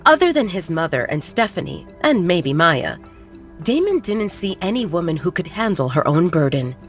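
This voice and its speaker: A woman's voice: lowish voice, sing-song, normal speed, soft background music. Woman narrating a werewolf romance audiobook.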